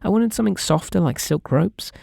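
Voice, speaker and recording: A man's voice: high-pitched, animated, brisk, vocal fry, dark tone, dry recording, studio quality, heavily acted. A British man playing a shy, lovestruck and possessive young kidnapper in an intimate ASMR roleplay, speaking earnestly and hesitantly to the listener. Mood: tender, eager. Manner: hesitant, earnest, politely sweet, rambling.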